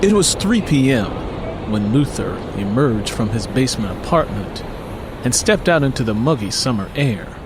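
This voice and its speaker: A man's voice: medium pitch, a little hiss or hum. A man reading scripted material as the host and narrator of a story podcast. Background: music.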